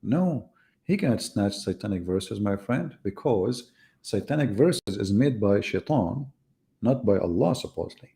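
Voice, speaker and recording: Low, gravelly, sarcastic man. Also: animated, unhurried, dark tone. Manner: sarcastic, teasing, rhetorical questions. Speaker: host of live religious debate. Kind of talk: mocking casual live commentary.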